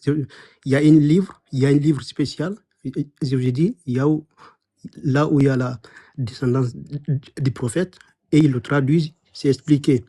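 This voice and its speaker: A man's voice: medium pitch, normal speed, warm tone, husky. A man calling into a live debate, hesitant and defensive, stammering as he answers. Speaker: caller.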